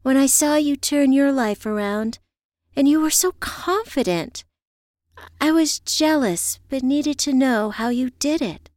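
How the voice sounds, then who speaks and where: Woman playing excitable, gushing female friend, pitched up. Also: a little slow, dry recording, studio quality. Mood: earnest, unhappy.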